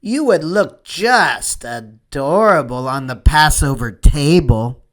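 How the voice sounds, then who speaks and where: A man's voice: a little high, animated, takes it slowly, a bit bright. A man voicing an admiring storybook auntie who coos as she speaks. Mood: adoring. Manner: cooing. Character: cooing, admiring auntie out shopping.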